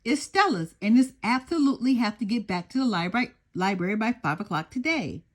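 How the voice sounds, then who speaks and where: Woman voicing an eager, high-pitched neighbourhood girl. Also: expressive, a little fast. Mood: urgent.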